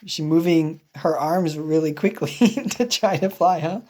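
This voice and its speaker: A woman reading a children's picture book aloud playfully, slipping in quick, hushed narrating asides. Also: sits low. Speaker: English teacher reading aloud.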